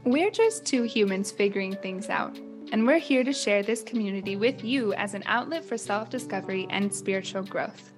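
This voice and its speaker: A woman reading a scripted podcast intro in a smooth, upbeat way. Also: mid-range voice, expressive, moderate pace. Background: soft music.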